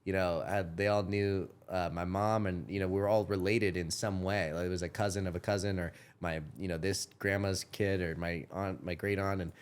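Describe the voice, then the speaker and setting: A man's voice: deep voice, flat delivery, moderate pace, hardly any pauses, clear, resonant tone. A man of thirty hosting a podcast, talking in a rambling way with lots of self-corrections and casual swearing. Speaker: podcast host. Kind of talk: casual chat.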